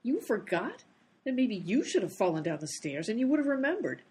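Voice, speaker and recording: A woman's voice: fairly high pitch, sing-song, brisk, darkish tone, breathy edge, exaggerated voice. A woman playing an exasperated female character in a comic detective radio play. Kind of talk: comedy radio play acting.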